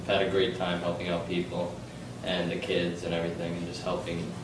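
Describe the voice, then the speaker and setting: Teenage man, low flat voice, hesitant, echoey classroom, distant mic. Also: a little fast, noisy background. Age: teens. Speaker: volunteer tutor. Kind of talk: interview answers.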